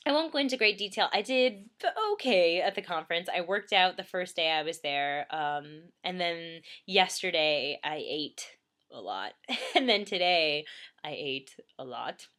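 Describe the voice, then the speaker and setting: A woman's voice: on the low side, pushed and bright. A young woman in her twenties chatting casually from bed in a vlog, slightly congested, full of ums and little laughs. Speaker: vlogger. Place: bedroom, in bed.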